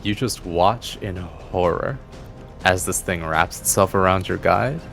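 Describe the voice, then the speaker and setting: Very deep, gravelly male game master. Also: unhurried, a bit bright. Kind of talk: narrating a tabletop game. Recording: faint music bed under voice. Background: faint music.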